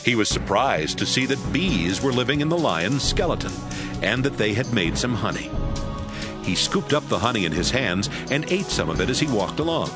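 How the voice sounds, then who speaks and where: A man's voice: medium pitch, very animated, normal speed, music in the background. A man giving dramatic scripted narration for a Bible audio drama, as storyteller.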